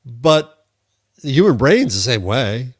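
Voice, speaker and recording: A man's voice: mid-range voice, sing-song, slow, breathy edge, dry recording, studio quality. A man with an American accent co-hosting a tech podcast, casually reasoning aloud and offering his opinions. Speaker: podcast co-host.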